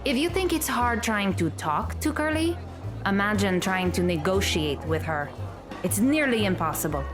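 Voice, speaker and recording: A woman's voice: mid-range voice, expressive, normal speed, over music, natural voice. A woman playing a blunt, touchy teenage schoolgirl in an audio drama, with a dry, cutting delivery.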